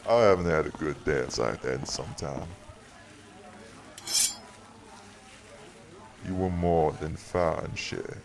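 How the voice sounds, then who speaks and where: A male actor voices a courtly old-world vampire host for an audio drama. His voice is extremely deep and full of gravel. He is weary, protective and firm. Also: moderate pace, slight background noise. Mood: soft, reassuring. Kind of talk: audio roleplay drama acting.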